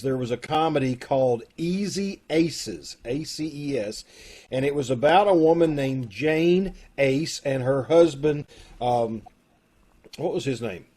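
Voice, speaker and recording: Man, host, slightly high voice, chatty, friendly, video call mic. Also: darkish tone. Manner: chatty, friendly, loose with fillers. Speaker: host of a community radio troupe. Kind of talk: casual chat.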